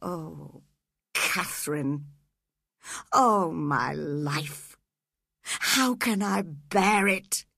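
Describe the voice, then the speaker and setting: Brief: fierce heartbroken young man, read by a woman, slow and breathy. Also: fairly low pitch, animated, a bit bright, no room sound, studio quality, heavily acted. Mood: anguished. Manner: intense, slow, emotional delivery. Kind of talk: audiobook character reading.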